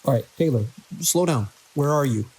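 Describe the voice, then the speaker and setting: A man playing a weary, alarmed hospital director in an audio drama, speaking grave, deliberate log entries in clipped sentences. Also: mid-range voice, expressive, a little mellow, breathy edge, low-quality recording. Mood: urgent, alarmed. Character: weary, alarmed hospital director recording logs during an outbreak.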